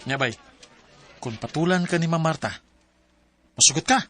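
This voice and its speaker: A man's voice: fairly high pitch, normal speed, very noisy, close to an everyday voice. A man playing a teasing, gossipy workmate in a radio drama, with a Filipino Cebuano accent. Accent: Filipino, Cebuano-speaking. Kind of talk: Philippine radio drama acting.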